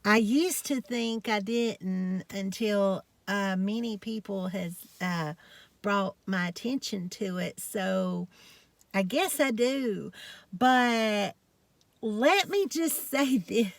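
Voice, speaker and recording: A woman's voice: medium pitch, slow pace, creaky, no room sound. A woman with a strong South Georgia country accent, chatting casually in a folksy, rambling way as a vlogger. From South Georgia, United States. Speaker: health and fitness vlogger. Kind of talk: casual chat to camera.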